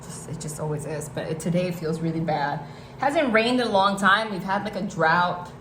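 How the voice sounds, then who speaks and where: Chatty northeastern American woman, lowish voice. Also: animated, moderate pace, noisy background. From New Jersey / New York City area. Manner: chatty, rambling, frequent "like" and restarts. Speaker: tarot reader. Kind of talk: casual tarot reading to camera. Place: hot room at home.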